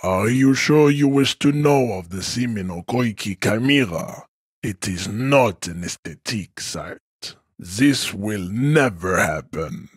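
A haughty, hulking supremacist from a video game. His voice is low and husky, recorded very dry. He declaims solemnly and pompously, as if above everyone. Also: animated, takes it slowly, no room sound, exaggerated voice. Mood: cold, haughty. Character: haughty, hulking supremacist strongman, adult man. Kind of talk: scripted game character monologue.

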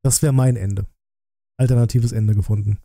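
A man gives casual live-stream commentary in a very mellow, relaxed voice. He sounds close to the microphone in a dry room, chatting directly to his viewers. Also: medium pitch, moderate pace, dry recording. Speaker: streamer reacting to a film review. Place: small room at a streaming setup. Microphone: close desk microphone, headset worn.

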